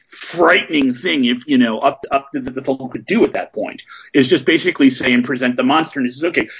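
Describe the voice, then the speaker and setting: A man's voice: medium pitch, fairly bright tone, husky, highs cut off. A man in his forties talking casually on a podcast, rambling with lots of ums and restarts. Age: 40s. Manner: rambling, many fillers and restarts.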